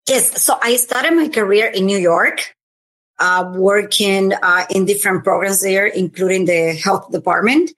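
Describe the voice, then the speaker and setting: A woman's voice: mid-range voice, unhurried, a bit bright, low-quality recording. A woman from the Dominican Republic, a nonprofit program officer, answering interview questions earnestly with many ums and uhs.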